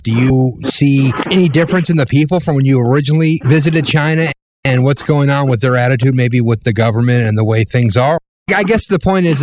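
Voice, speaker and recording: A man's voice: medium pitch, darkish tone, a little hiss or hum. A man hosting an interview in a casual, conversational way, with informal, rambling questions. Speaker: interviewer, host.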